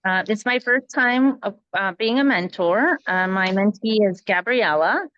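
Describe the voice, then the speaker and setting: Smooth-voiced middle-aged woman. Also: mid-range voice, expressive, unhurried, a bit bright. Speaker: volunteer mentor introducing herself. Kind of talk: casual introduction on a group video call. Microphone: video call. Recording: slightly dull video-call audio.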